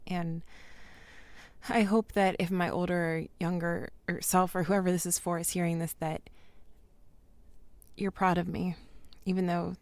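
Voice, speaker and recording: An actress playing a resigned, insecure young woman who records a halting, sighing, reflective diary in an audio drama. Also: on the low side, little pitch movement, a little mellow, no echo. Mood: resigned, vulnerable. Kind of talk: naturalistic audio drama monologue.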